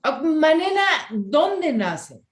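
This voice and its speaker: A woman's voice: high voice, very animated, very slow, a little mellow. Emphatic Ecuadorian woman interviewer, echoey room. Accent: Ecuadorian Spanish. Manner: emphatic, repeats phrases, interrupts to steer. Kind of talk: conversational interview.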